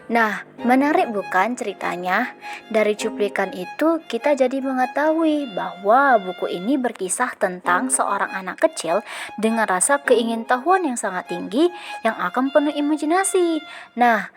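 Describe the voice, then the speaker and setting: Lively young woman storyteller, faint music. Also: on the high side, moderate pace. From Indonesia. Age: young adult. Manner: lively, addresses listeners as "sahabat bercerita", rising questions. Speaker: storyteller reading a children's book. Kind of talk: read-aloud storytelling for children.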